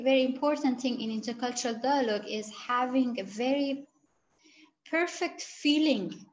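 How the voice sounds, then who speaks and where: Woman researcher with a fairly high voice. Gilaki speaker, careful and halting, on lo-fi video-call audio. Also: a little mellow. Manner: halting, searching for words, many restarts. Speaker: interviewed researcher. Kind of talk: interview answers.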